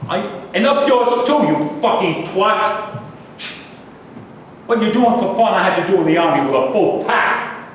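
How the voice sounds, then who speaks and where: A man's voice: animated, a little slow, long pauses, fairly bright tone. A man playing a bitter, griping, foul-mouthed older army veteran in a staged play reading. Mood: angry contempt. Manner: aggrieved, profane ranting. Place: indoor live staged reading. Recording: echoey hall, distant mic, rumbling noise.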